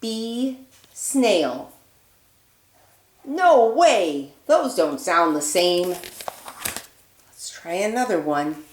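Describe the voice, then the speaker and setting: Slow, sing-song teacher woman. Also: lowish voice, big pitch swings, echoey room. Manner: sing-song praise to young children, slow exaggerated words. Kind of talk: early-childhood rhyming lesson.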